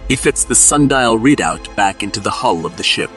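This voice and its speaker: A man with a low voice delivers scripted narration for an audio drama. He sets the scene as narrator, with music playing prominently underneath. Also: animated, moderate pace.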